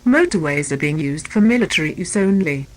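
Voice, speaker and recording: A woman's voice: sits low, animated, a little fast, low-quality recording. A woman reading a scripted emergency alert in a formal, impersonal manner. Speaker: emergency announcer.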